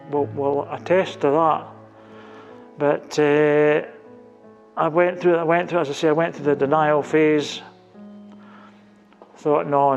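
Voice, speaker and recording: Gravelly Scottish man in his sixties. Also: fairly high pitch, darkish tone. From Scotland. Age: 60s. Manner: earnest, reflective, some repetition. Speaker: team captain. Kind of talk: interview answers.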